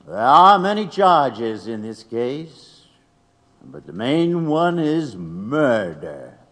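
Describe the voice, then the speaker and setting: A man playing a righteous, insinuating prosecutor in an 1880s courtroom audio drama, speaking in deliberate, leading oratory. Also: mid-range voice, very animated, a bit bright. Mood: grave, accusing. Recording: long hall-like reverb.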